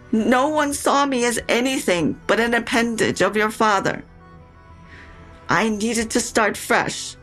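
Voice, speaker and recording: Audio drama mother: older widow, fairly high voice, slow, proud, reproachful. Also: fairly bright tone. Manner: deliberate, reproachful. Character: proud, bitter widowed mother of grown daughter. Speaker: actor in a fiction podcast. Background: music.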